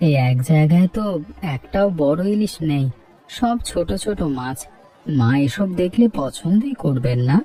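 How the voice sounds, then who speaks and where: A man's voice: on the high side, animated, normal speed, warm tone. A man playing an easygoing, food-loving village family man in a Bengali cartoon story. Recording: slightly distant, music behind.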